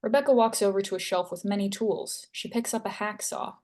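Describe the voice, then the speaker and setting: Casting: female narrator, medium pitch, scripted read of action lines at a table read. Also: brisk, a little mellow. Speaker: screenplay narrator. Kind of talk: reading stage directions at a table read.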